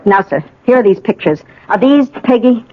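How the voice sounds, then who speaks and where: Actress as businesslike police sergeant, muffled sound. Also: female voice, fairly high pitch, expressive, normal speed, a little hiss or hum, natural voice. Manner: matter-of-fact. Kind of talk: radio drama acting. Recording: dull old radio broadcast.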